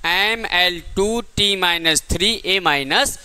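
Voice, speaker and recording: A man's voice: high voice, takes it slowly, pushed and bright, smooth. A man teaching an exam-prep physics class step by step, often asking questions and answering them himself.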